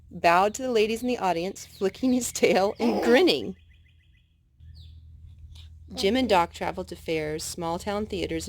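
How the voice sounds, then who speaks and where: A woman reading a children's story aloud as a storytime host, expressive, as if to a child. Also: medium pitch. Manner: expressive, chatting to a child between passages.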